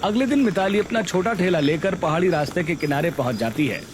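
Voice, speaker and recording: A man's voice: high-pitched, lively intonation, brisk, slight background noise. A man reading scripted narration for a Hindi cartoon story.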